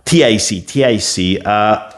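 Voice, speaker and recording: Low-voiced South African man ranting. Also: unhurried. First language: Afrikaans. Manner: reads stiffly, then rambles loosely with many fillers and restarts. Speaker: political commentator. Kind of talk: reading a script, then ranting off the cuff.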